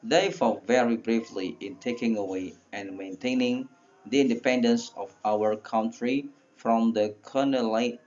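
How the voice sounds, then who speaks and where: A man with an Indonesian accent delivers a formal prepared speech in a deliberate, halting, oratorical way. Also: lowish voice, a little mellow, dull audio. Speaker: speech presenter.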